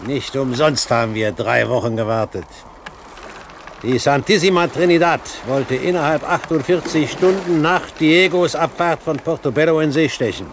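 An actor playing a shrewd older pirate captain, grand and wry in a 1970s German radio drama. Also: male voice, on the high side, moderate pace, close to an everyday voice. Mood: confident, commanding. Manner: grand, wry, authoritative, given to mild curses. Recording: 1970s radio play, background noise.

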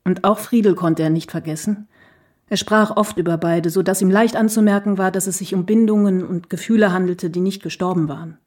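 Actress as a mellow, wistful reminiscing woman. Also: on the low side, fairly even intonation, a little fast, close to an everyday voice. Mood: wistful, reflective. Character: wistful, reflective woman remembering her writer lover. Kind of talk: documentary radio play monologue.

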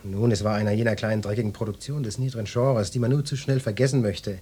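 A swaggering police detective in a radio drama, played by a male actor, with a lowish voice. He is brash and leering, barking orders and talking in pompous flourishes. There is clear noise on the recording. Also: fairly even intonation, fast talker, warm tone, close to an everyday voice. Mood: interrogating, pompous. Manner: gruff, bossy, pompous flirtatious address. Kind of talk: German radio drama acting, parodic hard-boiled cop.

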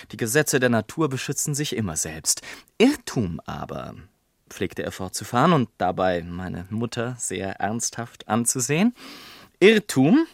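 An actor playing a witty, pompous gentleman narrator in a literary radio play, speaking in a theatrical, deliberate way. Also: medium pitch, no room sound, clean studio sound. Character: witty, pompous gentleman narrator telling his life story.

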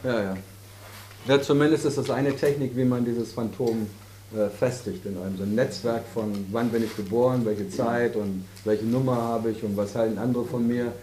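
A man's voice: mid-range voice, steady tone, moderate pace, mellow, a little hiss or hum. A man in his fifties, a spiritual teacher in a casual, joking talk with his audience, teasing and rambling between jokes and explanations. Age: 50s. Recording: roomy hall with audience.

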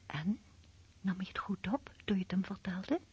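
An actress playing a gentle, pious, middle-aged mother in a radio play, speaking in a hushed, breathy voice. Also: mid-range voice, expressive, normal speed. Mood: tender, solemn. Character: gentle, pious, loving mother of a teenage girl. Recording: dull old radio recording.

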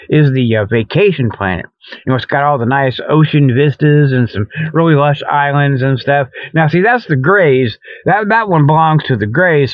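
A man's voice: a little high, no room sound, phone-quality sound. A man hosting a jokey talk show, rambling casually in a folksy, colloquial way. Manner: jokey, chuckling at own tall tales. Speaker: host of a comedic paranormal talk show. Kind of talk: rambling casual solo monologue.

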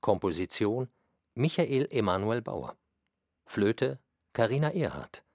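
A man's voice: on the low side, big pitch swings, slow, a little mellow, no echo, clean studio sound, exaggerated voice. A man delivering scripted dramatic narration for a radio documentary, with emphatic, pointed phrasing.

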